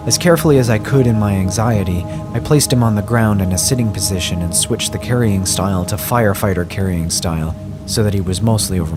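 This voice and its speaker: A man reading a scripted first-person horror story in a measured, grave way. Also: sits low. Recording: music bed under the voice.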